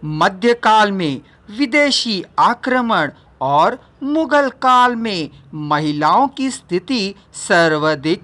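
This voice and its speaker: A woman narrating a non-fiction book as a scripted reading, deliberate and formal, pausing between phrases. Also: medium pitch, fairly bright tone. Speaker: audiobook narrator.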